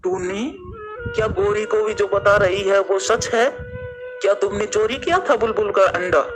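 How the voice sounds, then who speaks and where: Cartoon bird friend: male, high-toned, serious and earnest, voiced by a man, clear music underneath. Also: very animated, moderate pace. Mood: reproachful.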